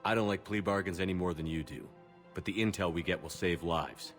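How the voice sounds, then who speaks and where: Deep-voiced, firm military commander played by a man. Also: brisk, soft background music, natural voice. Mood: stern. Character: male military commander, firm, principled, authoritative. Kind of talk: video game cutscene dialogue.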